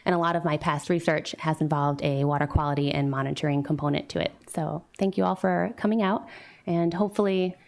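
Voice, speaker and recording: A woman's voice: low-pitched, fairly even intonation, brisk, vocal fry, a little mellow. A woman from Fall River, Massachusetts, a marine scientist giving a prepared public presentation at a town meeting. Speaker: water quality specialist, marine scientist. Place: town meeting room with a microphone.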